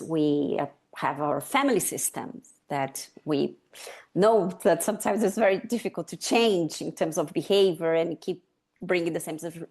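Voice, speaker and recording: A woman's voice: fairly low pitch, darkish tone. Woman, 50s, Chilean accent, reflective, explanatory, interview guest. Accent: Chilean Spanish. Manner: reflective, explains ideas step by step. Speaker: guest, sustainability consultant. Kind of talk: conversational interview.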